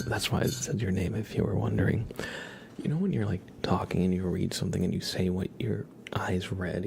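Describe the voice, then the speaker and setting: A man hosting an ASMR livestream, speaking in a hushed, breathy whisper as he chats with viewers. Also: on the low side, slight background noise. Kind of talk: ASMR, live chat with viewers.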